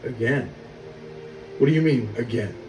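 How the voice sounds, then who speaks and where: A man voicing a stern, bitter young lord of Egypt in an animated film, questioning and accusing in a commanding manner. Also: medium pitch, measured pace, music in the background, close to an everyday voice. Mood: guarded, probing. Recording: roomy, distant, noisy film soundtrack rip.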